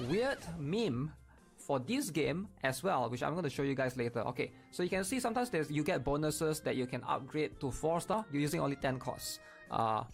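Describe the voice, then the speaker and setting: A man's voice: medium pitch, creaky. A man giving casual, explanatory gameplay commentary, often checking in with his viewers. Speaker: gaming video creator.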